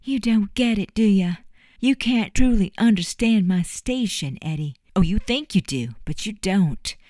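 A woman's voice: mid-range voice, lively intonation, measured pace, darkish tone, no echo, pitched above the performer's own voice, close to an everyday voice. A woman voicing a proud young servant woman who speaks in folksy dialect, in an audiobook. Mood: bitter. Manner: impassioned, folksy dialect speech. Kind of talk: audiobook character voice.

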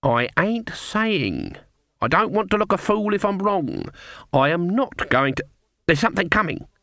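A man's voice: high-pitched, animated, measured pace, fairly bright tone, no room sound, pitched above the performer's own voice, full character voice. A stern, blunt old witch woman, as a man puts her on, her voice raised above his own. She talks in short, hard sentences. The sound is dry and close. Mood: tense. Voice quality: curt, blunt.

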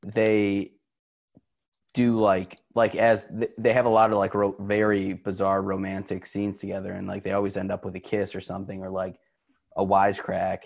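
A young man with a low, gravelly voice chats about a movie on a podcast call. He restarts his sentences often and throws in crude jokes. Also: monotone, normal speed, darkish tone. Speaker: podcast co-host. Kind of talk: casual chat about a movie.

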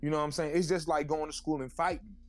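A man in casual podcast chat, animated and slangy, who keeps checking in with his listeners and laughs between points. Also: a little high, fast, faint music behind.

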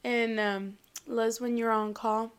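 Young woman from Mexico, podcast guest, soft and hesitant, giggly, a bit high-pitched. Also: flat delivery, unhurried, clean tone. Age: 20s. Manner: soft-spoken, hesitant, giggly, many fillers. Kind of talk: casual chat.

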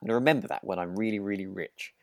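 This is a British man with a low voice, co-hosting a relaxed podcast. He muses haltingly as he chats, and the sound is very dry, with no room echo. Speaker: podcast co-host. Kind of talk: casual chat.

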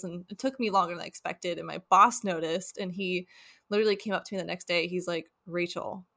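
A woman's voice: on the low side, bright, forceful tone. A woman in her twenties co-hosting a podcast, talking candidly and a little anxiously about work. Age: 20s. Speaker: podcast co-host. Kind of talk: casual chat.

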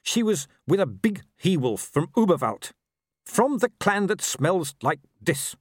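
Guy putting on a scared whiny wolf for an audiobook, cowering, higher voice. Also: very animated, measured pace, no echo, clean studio sound, full character voice. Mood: frightened. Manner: whining. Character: cowering, frightened male wolf, whining informant.

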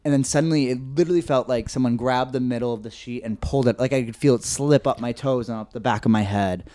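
A man's voice: fast, mellow, no room sound. Nasal-voiced man telling a story, dry sound. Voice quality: slightly high, nasal voice. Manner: rambling, hesitant delivery with many "like"s and restarts. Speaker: podcast co-host telling a story. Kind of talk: casual storytelling chat.

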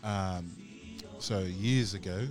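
A low-voiced young man hosting a relaxed table talk, drifting into stories and chuckling as he goes. Music plays clearly underneath him. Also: takes it slowly. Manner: relaxed, laughing storyteller. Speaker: podcast co-host. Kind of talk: casual chat.

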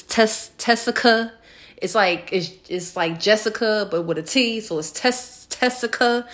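A woman's voice: mid-range voice, a bit bright. A woman in her late thirties hosting a casual online chat show, speaking bluntly and repeating phrases for emphasis. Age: late 30s, turning forty. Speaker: host of a live online show. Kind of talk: casual celebrity gossip and personal chat to followers.

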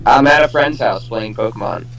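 A man's voice: mid-range voice, fairly quick, fairly bright tone, low-quality recording. Casual young man telling stories. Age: young adult. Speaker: podcast co-host. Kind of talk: casual chat and storytelling among friends.

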